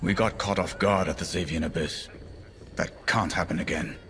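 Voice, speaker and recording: A seasoned, sober middle-aged male pilot in a game. His low voice is measured and cautioning. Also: fairly even intonation, a bit bright, a little hiss or hum. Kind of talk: video game cutscene acting.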